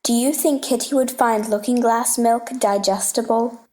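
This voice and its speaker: A playful scholarly uncle as a woman reads him in a fairly high voice. He is gentle and patient, explaining and teasing in a storybook play. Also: normal speed, close to an everyday voice. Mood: fond, musing.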